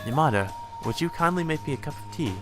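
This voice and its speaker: A man's voice: on the high side, animated, quick pace, faint music behind, close to an everyday voice. A man voicing a composed, politely imperious young lady from a visual novel game. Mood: coolly polite.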